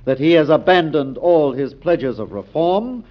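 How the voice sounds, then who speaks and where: An actor playing a combative, confident American senator in 1940s radio drama style, speaking in an emphatic, oratorical way. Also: male voice, fairly high pitch, expressive, a little slow. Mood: indignant, confident. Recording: dull old radio broadcast recording.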